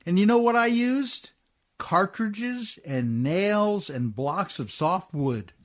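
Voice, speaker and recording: An actor playing a scrappy, folksy working-class riverboat skipper in a radio play, with plain, ungrammatical speech. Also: male voice, sits high, lively intonation, slow. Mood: sly, proud.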